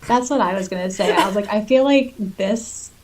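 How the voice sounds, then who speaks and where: A woman co-hosting a podcast, chatting casually and reading notes aloud in a giggly, animated way. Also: medium pitch, moderate pace. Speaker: podcast co-host.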